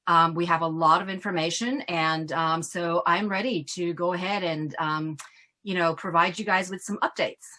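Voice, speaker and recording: A middle-aged woman from Ghana, an immigration attorney answering questions in an earnest, thoughtful way with frequent pauses. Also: fairly low pitch, steady tone, pushed and bright. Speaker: immigration attorney, guest speaker. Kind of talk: Q&A conversation.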